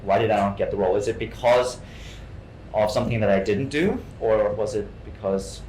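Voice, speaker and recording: Man, low pitch, from Hong Kong, halting delivery, repeated words, interview answers, echoey room, faint noise. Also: darkish tone. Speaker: guest actor. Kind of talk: informal artist talk, answering questions.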